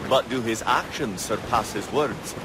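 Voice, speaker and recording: An actor playing a modest, keen navigator with a polite, precise, earnest manner in a period game. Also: male voice, mid-range voice, unhurried, lightly acted. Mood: curious. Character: courteous, modest but keen explorer and navigator. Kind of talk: period game cutscene acting. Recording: loud sea, wind and ship noise.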